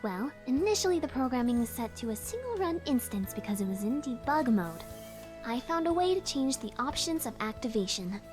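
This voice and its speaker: A woman's voice: a little high, very animated, fairly quick, music in the background, voice pushed up. A woman voicing a modest young female student engineer in visual novel dialogue, earnest and technical. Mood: explaining.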